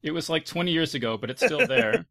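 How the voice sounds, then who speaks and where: A man's voice: fairly high pitch, big pitch swings, fast talker, vocal fry, fairly bright tone. A man hosting a panel discussion in a casual, conversational way. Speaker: podcast host.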